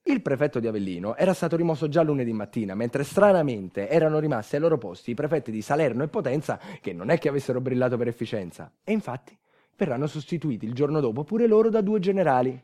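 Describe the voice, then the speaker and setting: A man performing a scripted stage monologue, dramatic, moving between hushed and emphatic delivery. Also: medium pitch, expressive, quick pace. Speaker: stage actor, narrator of a monologue. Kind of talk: theatrical scripted storytelling.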